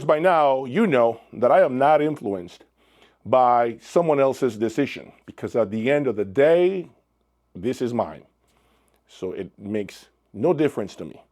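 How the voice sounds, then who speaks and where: A man presents a product review as the host of his own channel. He speaks in a deliberate, emphatic way, breaking his phrases with dramatic pauses. His voice sits at an average pitch for a man. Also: darkish tone.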